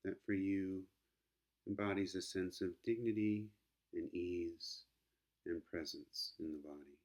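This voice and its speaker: Very deep-voiced man guiding meditation slowly. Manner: gentle, calm, unhurried, with long pauses. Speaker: meditation teacher. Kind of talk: guided meditation, instructional.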